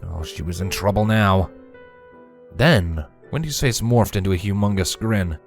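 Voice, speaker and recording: A man giving an expressive scripted narration of a fan fiction story. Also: low-pitched, measured pace, soft background music.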